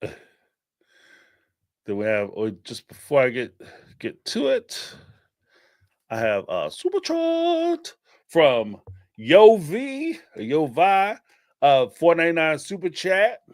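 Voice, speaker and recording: A man's voice: fairly high pitch, takes it slowly, long pauses, dry recording, studio quality. A man with a Philadelphia accent hosting a live-stream show, talking casually with many fillers and frequent laughs. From Northeastern United States. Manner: animated and rambling, laughs often, many fillers. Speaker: live-stream show host taking call-ins.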